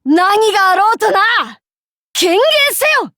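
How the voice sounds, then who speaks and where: A woman playing a brash, cocky young feudal warlord in an anime game, with rough, masculine, archaic and boastful speech. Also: very high-pitched, expressive, takes it slowly, pushed and bright, dry recording, studio quality, exaggerated voice. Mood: blazing fury. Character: brash, cocky young feudal warlord, self-styled fool, fiercely loyal. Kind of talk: anime game character voice acting.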